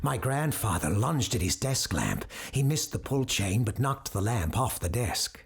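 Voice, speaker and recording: A man playing a grave doctor who hosts an occult radio show, telling stories in a measured, theatrical, formal way with a gravelly, breathy voice. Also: mid-range voice, very animated, full character voice. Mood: ominous, hushed. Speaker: radio host. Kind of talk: dramatic scripted storytelling in a radio drama.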